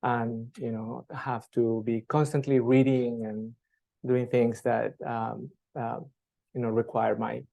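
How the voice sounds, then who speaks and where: A man from Colombia, a patient advocate, answering questions at a live panel in a halting way with many pauses and fillers. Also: medium pitch, little pitch movement, creaky, mellow. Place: event room with audience.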